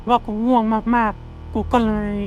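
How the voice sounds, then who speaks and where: A woman voicing a boastful schoolkid in a cartoon, telling a scary story slowly and suspensefully, in halting, drawn-out phrases. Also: close to an everyday voice. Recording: music behind the voice. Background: clear background music.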